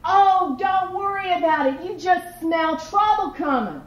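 A woman's voice: very high voice, expressive, measured pace, roomy sound, muffled recording, pitched above the performer's own voice. A woman voicing a sly, teasing male rabbit who tricks an alligator.

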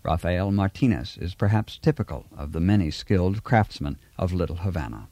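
A man reading scripted documentary narration in a measured, even, informative way. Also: low-pitched, no echo.

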